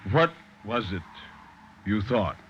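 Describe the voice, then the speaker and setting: A man's voice: lowish voice, big pitch swings, takes it slowly, fairly bright tone, heavily acted. A man voicing a sinister husband in a radio horror tale, controlled and menacing. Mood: quietly menacing. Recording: muffled, noisy old radio recording.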